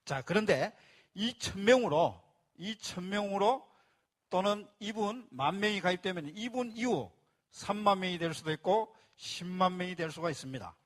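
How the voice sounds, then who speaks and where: A man with a voice pitched a little above the usual male range presents at a live seminar. He explains patiently step by step, starting phrases with "자" and repeating key points for the room. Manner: speaks to a live audience and asks for applause. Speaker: business presenter explaining a membership plan.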